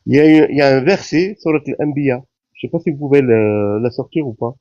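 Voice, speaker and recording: A man's voice: medium pitch, fast, dark tone, dry recording. Man talking through a dull call line. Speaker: caller. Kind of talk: casual debate. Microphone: phone or app call.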